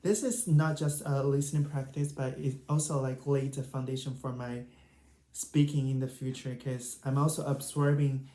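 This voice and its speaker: A woman's voice: extremely low pitch, fairly even intonation, normal speed, dark tone. A woman with a Chinese accent, a native Chinese speaker, casually sharing language study tips as a vlogger. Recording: roomy, echoing sound.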